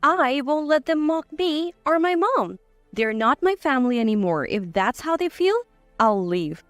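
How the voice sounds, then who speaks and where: A woman's voice: sits high, very animated, a little slow, no echo, soft background music. A woman reading a scripted first-person dramatic story as narrator.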